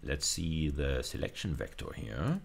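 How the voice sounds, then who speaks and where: Male lecturer, very low-pitched and gravelly, informal hands-on teaching delivery with thoughtful hesitations. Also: very animated, measured pace. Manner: hesitant, thinking aloud while demonstrating. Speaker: university lecturer. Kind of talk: informal technical lecture, live demonstration.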